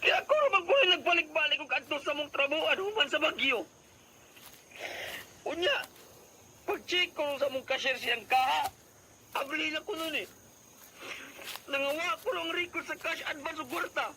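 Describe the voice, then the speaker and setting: A man's voice: extremely high pitch, normal speed, long pauses, pushed and bright, slight background noise, exaggerated voice, low-quality recording. A man acting an aggrieved working husband in a radio drama, earnest and easily upset, with a Cebuano Filipino accent. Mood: tearful, indignant.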